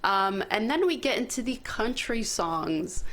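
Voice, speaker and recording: A woman's voice: a little high, animated, measured pace, a bit bright. A woman hosting a talk show, presenting a list in a casual, chatty style.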